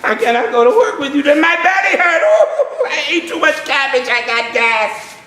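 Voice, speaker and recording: A man's voice: extremely high pitch, very animated, moderate pace, sharp tone, echoey room, full character voice. A storyteller man putting on the voice of a lazy, sleepy male cockroach, drawling and sing-song. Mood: whiny, sleepy.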